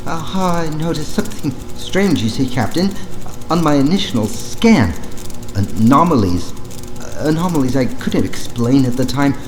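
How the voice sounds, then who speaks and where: Very deep, nervous alien scientist. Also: female voice, expressive, moderate pace. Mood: nervous, intrigued. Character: scared, fussy bulbous-eyed alien scientist. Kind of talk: full cast sci-fi audio drama. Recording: echoey, noisy mechanical hum.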